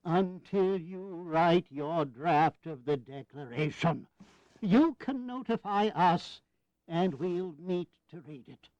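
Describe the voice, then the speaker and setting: A jovial, teasing elder statesman in an audio drama. The voice is high for a man and very lively, with an unhurried, amused drawl and a sly edge. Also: no room sound, muffled recording. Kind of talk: historical audio drama acting.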